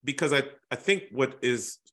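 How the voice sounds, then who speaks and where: A man's voice: medium pitch, lively intonation, moderate pace, fairly bright tone. A man from Boston hosting a podcast, chatting casually and often repeating words while he finds his phrasing. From Boston, USA. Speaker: podcast host. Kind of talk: casual interview chat.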